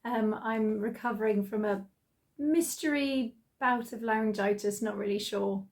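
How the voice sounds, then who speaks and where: Croaky British woman chatting about sewing. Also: a little high, unhurried. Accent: British English. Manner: chatty, excited, many ums. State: croaky from laryngitis. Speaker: sewing channel host. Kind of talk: casual vlog chat.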